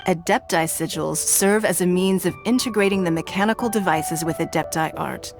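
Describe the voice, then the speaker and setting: A woman playing a poised, wealthy female ruler who speaks in a measured, formal, authoritative way. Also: on the low side, lightly acted. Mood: commanding. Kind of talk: game voice acting. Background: game music.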